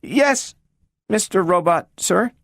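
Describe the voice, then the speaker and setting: Brief: male narrator playing a small, earnest girl, high treble, very polite, leaping pitch. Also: expressive, slow pace, fairly bright tone, no room sound, clean studio sound, exaggerated voice.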